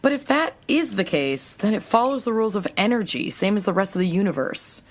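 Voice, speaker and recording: A brainy, sceptical young-hero's sister played by a woman in an audio drama. She reasons things out in a matter-of-fact way. Her pitch is average for a woman. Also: lively intonation, brisk, lightly acted. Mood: pondering, analytical. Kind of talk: acted audio drama dialogue.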